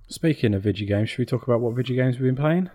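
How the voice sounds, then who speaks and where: A man's voice: mid-range voice, expressive, very fast, mellow, dry recording, studio quality. A man co-hosting a podcast, chatting casually and hesitantly as he thinks aloud. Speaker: podcast co-host. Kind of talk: casual chat about games and films.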